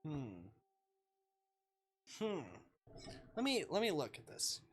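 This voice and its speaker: Expressive game streamer, swinging pitch, music behind. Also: takes it slowly. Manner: expressive, swinging between muttering and exclaiming. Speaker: live streamer playing a visual novel. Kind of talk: gaming livestream commentary, reading game text aloud.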